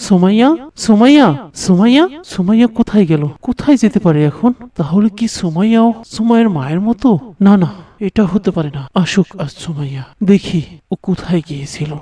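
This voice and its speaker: An actor voicing a distraught young village son, devout and quick to anger, stammering and repeating words in a melodramatic cartoon style. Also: mid-range voice, animated, mellow, recorded from a distance, natural voice. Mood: angry, accusing.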